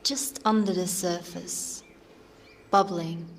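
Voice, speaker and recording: An actress playing a solemn, mysterious fairy-tale narrator with poetic, suspenseful and deliberate delivery. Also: female voice, fairly low pitch, steady tone, a little hiss or hum. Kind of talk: scripted dramatic narration.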